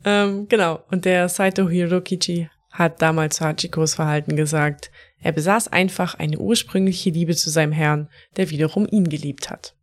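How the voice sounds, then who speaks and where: A woman's voice: low-pitched, fairly even intonation, moderate pace. A woman co-hosting a casual podcast, telling stories in a chatty way and laughing often. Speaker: podcast co-host.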